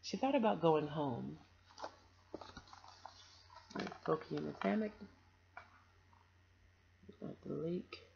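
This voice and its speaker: A woman's voice: on the low side, vocal fry, highs cut off, slight background noise. A woman doing a children's storytime, reading and chatting to listeners in an encouraging, affectionate way.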